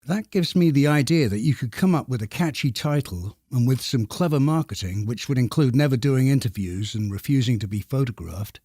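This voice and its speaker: A man speaking as a podcast co-host in a measured, deadpan and slightly formal conversational manner. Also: medium pitch, no echo, studio quality.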